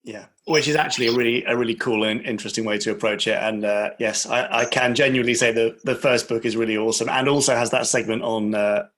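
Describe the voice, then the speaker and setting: Man, podcast host, bright tense-edged tone, medium pitch, polite, appreciative, casual interviewing style. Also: fairly even intonation, fast, hardly any pauses.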